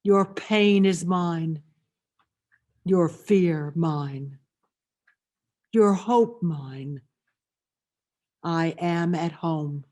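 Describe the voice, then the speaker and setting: A woman reading a poetic meditation as a grieving, tender mother, solemn, measured and reverent. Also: lowish voice, dark tone. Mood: tender longing. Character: grieving, tender mother addressing her suffering son.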